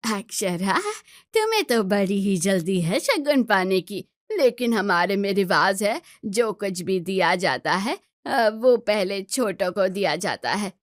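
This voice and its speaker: A woman's voice: mid-range voice, animated, a little slow, no echo, studio quality, natural voice. A woman voicing a stern, traditional older aunt in a family story, firm and admonishing. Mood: presiding, firm.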